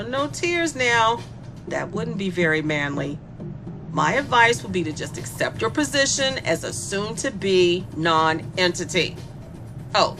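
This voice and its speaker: Taunting sadistic female killer, swooping and creaky. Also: mid-range voice. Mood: gleeful, cruel. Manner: mocking, flirtatious, toying with the captive. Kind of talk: dark comic horror audio drama monologue. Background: music.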